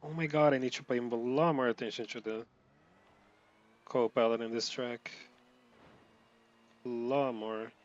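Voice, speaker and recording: A man from Brazil commenting casually while playing a racing game, rambling, running words together, swearing, with loud outbursts at his mistakes. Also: mid-range voice, fairly even intonation, measured pace, pauses often, dull audio. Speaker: gameplay streamer.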